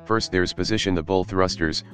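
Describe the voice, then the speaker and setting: Male reviewer, very low voice, relaxed scripted delivery with the odd curse, faint music bed. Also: normal speed. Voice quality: casual, swears for emphasis. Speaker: YouTube game reviewer. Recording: light guitar music behind.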